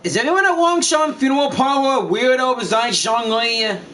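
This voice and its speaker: A man's voice: very high voice, animated, moderate pace, pushed and bright, far from the mic. A man casually commenting and reacting as he live-streams a game, recorded a little off the mic. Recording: off-mic, faint noise, game ambience.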